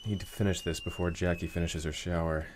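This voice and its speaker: An actor playing a dutiful but quietly resentful grown son in an audio suspense drama, obsequious with a sinister edge. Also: male voice, deep voice, fairly even intonation, brisk, noisy background, close to an everyday voice. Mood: sinister, plotting.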